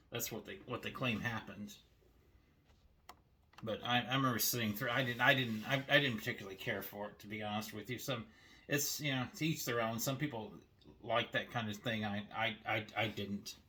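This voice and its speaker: A man's voice: lowish voice, brisk, bright, forceful tone. An older man casually showing his cassette tapes at home, rambling and often repeating himself as he restarts his words. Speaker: video host showing his cassette tape collection. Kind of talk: casual show-and-tell narration. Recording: faint background noise.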